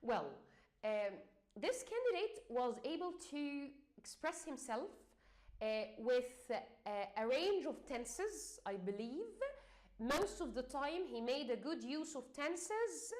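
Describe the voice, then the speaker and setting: A woman's voice: sits high, sing-song, slow, darkish tone. A woman with an Egyptian accent giving a teaching talk, explaining with frequent fillers and restarts. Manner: hesitant, many fillers, repeats and restarts. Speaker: English exam teacher.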